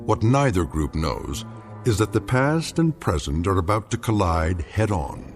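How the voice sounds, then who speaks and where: An actor narrating an eerie radio drama anthology in a measured, ominous way. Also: male voice, deep voice, very animated. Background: music.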